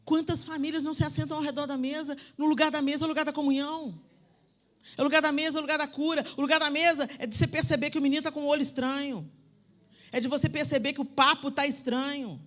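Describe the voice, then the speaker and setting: Woman, preacher, sharp bright tone, emphatic, talks right to the crowd. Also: high voice, fairly even intonation, fast talker. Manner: emphatic, swinging from loud declarations to soft asides; conversational, addresses the audience directly. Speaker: preacher at a women's conference. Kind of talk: sermon. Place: church sanctuary.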